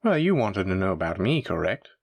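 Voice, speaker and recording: A man's voice: low-pitched, sing-song, brisk, gravelly, no echo, exaggerated voice. A man playing a suave, menacing mafia boss in a roleplay audio drama, with a theatrical, teasing and condescending manner. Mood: playful, commanding. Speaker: voice actor. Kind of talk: scripted roleplay audio drama addressed to listener.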